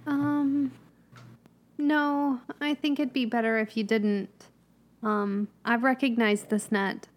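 Woman, high voice, hesitant, thinking aloud, tabletop role-play. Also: steady tone, slow. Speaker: player. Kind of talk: in-character roleplay at a tabletop game.

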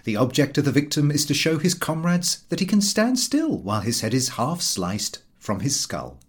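A man narrating a literary essay as an audiobook reader, in measured, emphatic, oratorical phrasing. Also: fairly high pitch, big pitch swings, husky.

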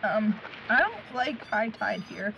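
A woman's voice: medium pitch, animated, slow pace, creaky, lo-fi sound. Young woman, direct and curious, very varied intonation, noticeable ambience. Audio drama. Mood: uneasy. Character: frank, teasing, curious young woman, supportive friend. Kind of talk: naturalistic audio drama acting. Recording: noisy outdoor ambience.

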